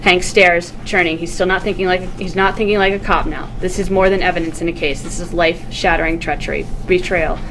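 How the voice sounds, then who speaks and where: A woman reading stage directions aloud as scripted narration at a table read. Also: lowish voice. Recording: noisy recording with room ambience.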